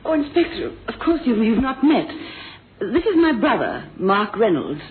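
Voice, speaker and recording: A woman's voice: a little high, wildly swooping pitch, moderate pace, phone-quality sound. A woman acting a polite, flustered, well-to-do wife in a radio drama, genteel and hesitant. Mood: flustered politeness. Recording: band-limited old radio, clear noise.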